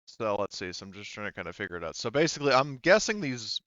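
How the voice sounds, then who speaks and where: A man's voice: fairly low pitch, sing-song, quick pace, a bit bright, no room sound, dull audio. A man casually narrating a tabletop game as game master, rambling and thinking aloud as he goes.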